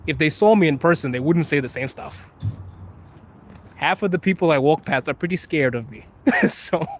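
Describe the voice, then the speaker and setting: A young man from Zimbabwe live-streaming from his phone on a wet street at night, chatting casually, laughing often and teasing his viewers. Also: fairly high pitch. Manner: laid-back, laughs often, playful teasing. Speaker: livestream host. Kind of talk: casual live-stream chat, reading comments. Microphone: phone held while walking.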